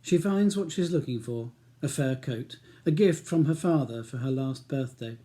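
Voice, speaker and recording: A man's voice: mid-range voice, expressive, normal speed, mellow. A man with a British accent reading his own novel as a scripted audiobook narration.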